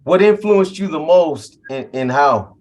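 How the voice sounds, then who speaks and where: A man's voice: a little high, expressive, a little slow, darkish tone. A man hosting an interview, asking questions in a casual, friendly street-style way. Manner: casual, friendly, calls the guest "bro". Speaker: interviewer, host. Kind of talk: podcast interview.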